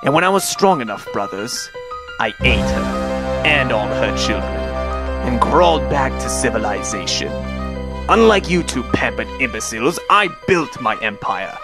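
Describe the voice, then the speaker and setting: Man voicing a gravelly, scornful old schemer. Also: mid-range voice, very animated, moderate pace. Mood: bitter contempt. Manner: precise, pompous, contemptuous. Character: cold, cunning old man, scornful long-lost brother. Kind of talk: comic dub voice acting. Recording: voice over background music.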